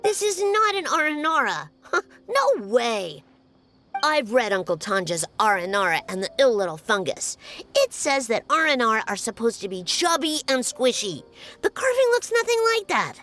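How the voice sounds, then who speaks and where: Blunt, high-voiced, animated child. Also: female voice, moderate pace, soft background music. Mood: scornful. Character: blunt, opinionated storybook-loving child. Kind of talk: video game dialogue.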